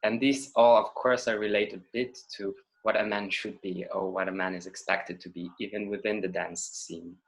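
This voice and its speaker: A man's voice: fairly low pitch, fairly even intonation, normal speed, few pauses, low-quality recording. A man from Israel with an Israeli accent, a choreographer and dancer answering interview questions in an introspective way, searching for his words. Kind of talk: reflective interview talk.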